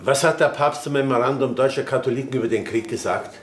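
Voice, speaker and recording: An actor playing a courteous gentleman with a polite, measured manner. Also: mid-range voice, slight background noise, close to an everyday voice. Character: courteous gentleman guest, inquiring.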